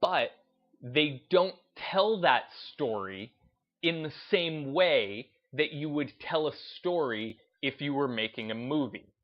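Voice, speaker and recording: A man giving off-the-cuff opinion commentary on a gaming channel, speaking in halting, emphatic short bursts. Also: a little high, expressive, dull audio.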